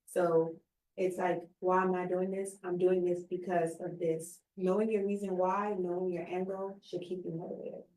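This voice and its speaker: A woman's voice: lowish voice, flat delivery, moderate pace, a little mellow. A young woman in her twenties, a recent college graduate, speaking earnestly and expressively in a casual conversation. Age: 20s. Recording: roomy sound, somewhat distant mic.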